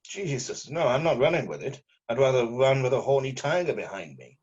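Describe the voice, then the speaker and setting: A weary, sarcastic newsroom hack played by a man, always exasperated. The voice is husky and mid-pitched, and the recording sounds slightly muffled. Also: expressive, brisk, a little mellow. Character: weary, bitterly sarcastic male journalist. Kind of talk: amateur script table read.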